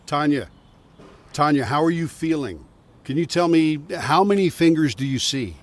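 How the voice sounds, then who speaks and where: A man playing a calm, reassuring hospital doctor in an audio drama. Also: fairly high pitch, moderate pace, close to an everyday voice. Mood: concerned, checking.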